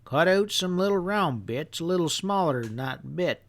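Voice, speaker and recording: A man's voice: a little high, animated, normal speed, voice pushed up. A man voicing a mischievous, folksy old country grandfather who explains things with earnest emphasis in an audiobook story. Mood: earnest, instructive. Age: older.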